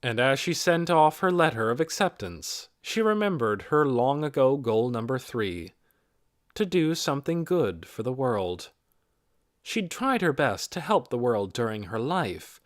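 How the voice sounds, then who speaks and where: A man's voice: mid-range voice, sing-song, measured pace, no room sound, clean studio sound. A man reading a novel aloud as an audiobook narrator in a scripted storytelling style.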